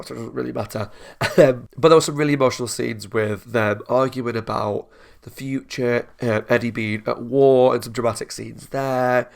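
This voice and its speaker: A man's voice: mid-range voice, vocal fry. A man hosting a TV reaction channel, congested with a cold, chatting in a rambling, casual way and laughing often.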